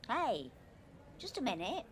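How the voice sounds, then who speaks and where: A woman's voice: fairly high pitch, huge pitch swings, unhurried, slight background noise. An actress playing a pushy, teasing young girlfriend in an audio play, blunt and demanding.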